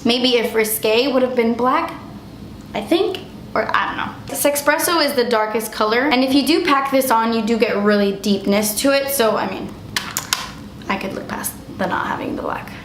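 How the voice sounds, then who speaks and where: Young female vlogger, slightly high voice, effusive and chatty, voice worn from illness; roomy echo. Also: moderate pace, slight background noise. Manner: gushing, chatty, lots of "like". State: losing her voice, getting sick. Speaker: beauty vlogger. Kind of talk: casual product review.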